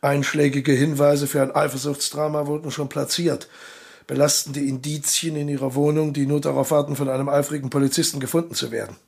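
An actor in a radio drama playing a suave, cold-blooded traitor colonel, courteous and condescending, with a husky, gravelly voice. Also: male voice, a little high, level tone, a little fast, darkish tone. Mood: smug, condescending.

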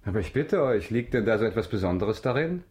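A man's voice: lowish voice, expressive, fast talker, a little mellow, slightly rough. An actor playing a commanding aristocratic count in a German radio drama, polished, ironic and probing in his questions. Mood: cool, commanding.

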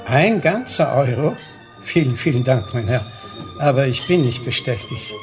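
A man's voice: medium pitch, big pitch swings, moderate pace, over music, natural voice. An actor playing a prim male waiter in a radio drama, unctuous, very formal and deferential. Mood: obsequious. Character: obsequious, prim male waiter, politely indignant.

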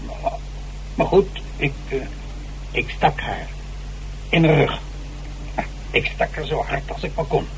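A man's voice: a little high, a little slow, long pauses, lightly acted. A man in a Dutch radio drama playing an agitated, boastful old stage actor who stammers theatrically and defensively. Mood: nervous, confiding. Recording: noisy, humming old radio recording.

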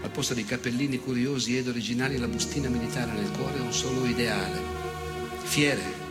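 An actor playing an unrepentant, nostalgic fascist veteran in a theatrical monologue, cruel and self-righteous, with sardonic rhetorical questions and weighted pauses. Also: male voice, medium pitch, loud music behind the voice, lightly acted. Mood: proud, solemn.

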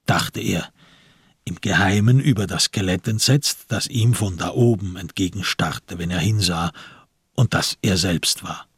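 A man with a gravelly, husky voice giving literary narration in a radio crime drama. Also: deep voice, unhurried, dry recording, studio quality.

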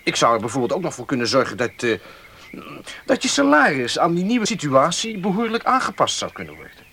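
An actor playing a persuasive young gentleman student in a radio play, coaxing and condescending, with hesitant pauses. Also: fairly high pitch, huge pitch swings, slightly rough, full character voice. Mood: persuasive, scheming. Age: young adult. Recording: faintly noisy old radio play recording.

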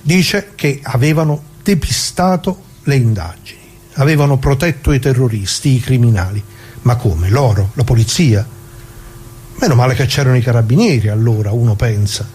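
A man acting out an old retired working man in a radio monologue. His voice is husky and mid-pitched. He rambles good-naturedly and colloquially, repeats himself, and grumbles with indignation straight at the listener. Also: normal speed, darkish tone, lightly acted. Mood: sardonic. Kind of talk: dramatic monologue in radio play.

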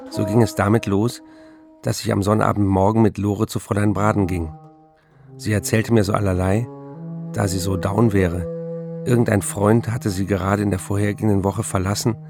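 Troubled, remorseful writer, intimate and measured, gravelly delivery, radio-play letter reading with music underneath. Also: male voice, lowish voice. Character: troubled male writer confessing to his wife, tender, remorseful.

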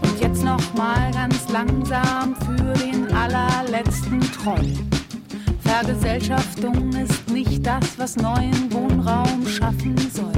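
A woman performing a political protest song, singing and chanting slogans. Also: high voice. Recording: loud music over the voice. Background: loud musical instruments.

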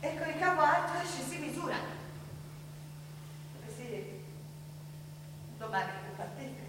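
A woman's voice: high-pitched, lively intonation, measured pace. An actress playing a motherly, plain-spoken mature midwife with a Florentine accent, homely and colloquial in theatrical style. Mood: wry resolve. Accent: Tuscan, Florentine. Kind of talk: theatrical stage acting. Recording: echoey hall from far off, noisy.

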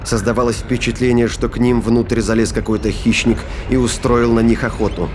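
A man's voice: mid-range voice, level tone, moderate pace, noisy background. A man narrating a science fiction thriller audio drama in a scripted, dramatic storytelling style. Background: prominent music and sound effects.

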